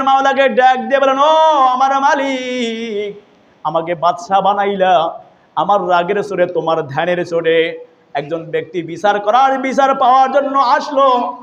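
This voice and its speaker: A man preaching as an Islamic storyteller, impassioned, with drawn-out calls and repeated phrases. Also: very high voice, fairly bright tone. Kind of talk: storytelling sermon. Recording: dull, lo-fi audio.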